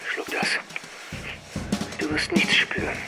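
An actor playing a desperate, cold-blooded psychiatrist turned killer in a radio drama, tense and menacing. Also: male voice, slow, over music, close to an everyday voice. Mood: coldly commanding.

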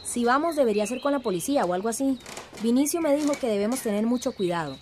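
Earnest higher-voiced Central American activist woman acting. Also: lively intonation, fast, darkish tone, a little hiss or hum, lightly acted. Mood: earnest, urgent. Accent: Central American Spanish. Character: earnest young village woman activist, urgent and organized. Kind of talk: radio drama acting.

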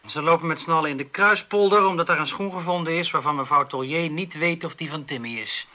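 An actor playing a wisecracking, grumbling police detective in a radio drama, with an ironic, bantering delivery. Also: male voice, fairly high pitch, fast, bright, forceful tone. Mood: weary grumbling. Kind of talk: Dutch radio drama acting. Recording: radio play with faint background noise.

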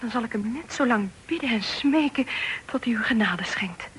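A pirate's young daughter in a radio play, on a noisy old recording. Her voice is high and girlish, sweet, earnest and breathlessly pleading. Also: expressive, moderate pace, fairly bright tone. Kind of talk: Dutch radio drama acting.

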